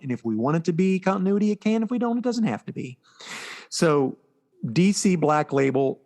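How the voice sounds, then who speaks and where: A man co-hosting a podcast, recapping things in a casual, explanatory and informal way. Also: medium pitch. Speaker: podcast co-host. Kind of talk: casual chat, recapping comic books.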